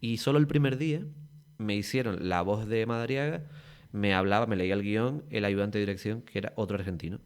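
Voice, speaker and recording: Lower-voiced Canarian man telling stories. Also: brisk, clean tone. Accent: Canary Islands Spanish. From Tenerife, Canary Islands. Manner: lively, rambling storytelling with many restarts. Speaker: guest actor. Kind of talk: casual podcast interview.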